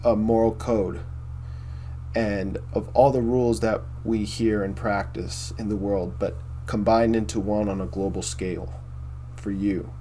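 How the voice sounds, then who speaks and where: A man with a baritone voice hosting a casual philosophy monologue, rambling and repeating himself with many fillers. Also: fairly even intonation, a little slow, warm tone, a little hiss or hum. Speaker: host.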